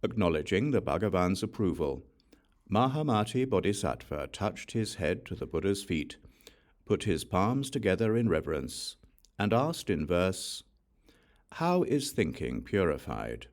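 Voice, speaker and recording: Man, very low voice, gravelly creak, solemn scripture narration, deliberate delivery. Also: very animated. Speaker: audiobook reader. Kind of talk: scripted scripture narration, reciting verse questions.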